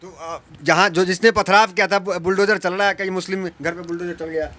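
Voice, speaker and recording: Male news interviewer. Sharp, high voice, probing and quick to interject. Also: fairly even intonation. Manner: challenging, interjecting with pointed questions. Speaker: interviewer, reporter.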